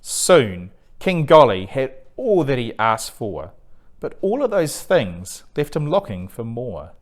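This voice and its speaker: A man reading a rhyming children's story aloud as a storyteller, in an expressive, theatrical and deliberate way. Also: medium pitch. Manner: animated, deliberate, theatrical read-aloud delivery. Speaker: storyteller reading a picture book.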